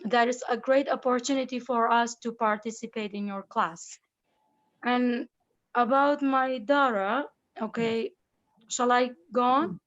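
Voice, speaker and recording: Slow, high-voiced woman on a video call. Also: steady tone, lo-fi sound. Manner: halting, searching for words. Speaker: teacher and parent. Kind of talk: casual talk in an online meeting.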